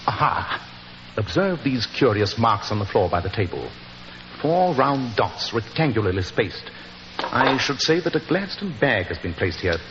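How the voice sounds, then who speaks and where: Radio play sleuth: British, commanding, precise, mid-pitched, in a hissy, reverberant vintage recording. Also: male voice, very animated, a little slow, highs cut off. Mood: intent, reasoning. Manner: precise, confident, deductive. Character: incisive, commanding male gentleman detective. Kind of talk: 1940s radio acting.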